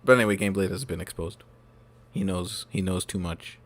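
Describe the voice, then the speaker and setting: A man's voice: low-pitched, fairly even intonation, a little fast. A man live-streaming a game, chatting playfully with his viewers and joking around. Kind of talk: casual live-stream chat. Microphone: desk microphone. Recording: music playing behind.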